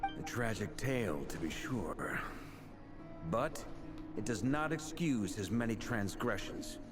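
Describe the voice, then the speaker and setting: An actor playing a stern, duty-minded knight in a fantasy video game, speaking formally and emphatically. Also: male voice, on the low side, animated, slow, over music. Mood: grave, resolute. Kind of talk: video game cutscene acting.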